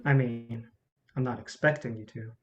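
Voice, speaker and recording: A woman's voice: a bass voice, fairly even intonation, moderate pace, muffled recording, a crowd in the background. A woman playing a cunning, bitter male film distributor in a staged play reading, with a blunt, cocky manner. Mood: bitter, cutting.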